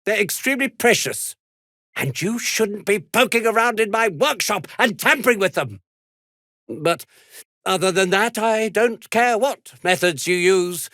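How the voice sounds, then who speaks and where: A man's voice: high voice, sharp tone, no echo, studio quality, exaggerated voice. A British man playing a fussy, self-important and slippery toy maker in a video game, speaking in a haughty, theatrical, wordy way. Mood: irritated. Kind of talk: dramatic video game dialogue.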